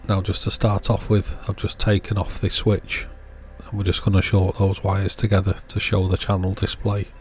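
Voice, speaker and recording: A man's voice: sits low, monotone, moderate pace, a little mellow. A man hosting a repair video, giving casual hands-on commentary and thinking aloud as he works. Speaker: host of an electronics repair channel.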